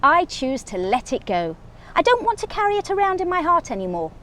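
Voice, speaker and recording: A woman voicing a hurt, composed young woman who lists old grievances in a pointed, measured way. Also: high-pitched, big pitch swings, fairly bright tone, a little hiss or hum, voice pushed up. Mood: reproachful.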